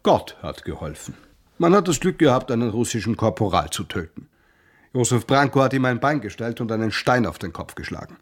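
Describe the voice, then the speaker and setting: Husky, animated old coachman. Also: medium pitch, brisk. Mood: matter-of-fact. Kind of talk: radio drama acting.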